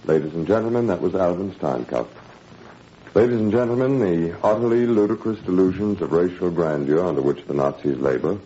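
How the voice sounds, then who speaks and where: A man's voice: deep voice, mellow. A man giving a formal, oratorical 1940s radio address in a grave manner as announcer. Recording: muffled, hissy 1940s radio recording.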